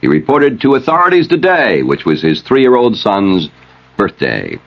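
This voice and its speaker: A man's voice: on the low side, huge pitch swings, normal speed, gravelly. A man reading news and weather in a scripted style as a radio announcer. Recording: slightly dull old radio recording.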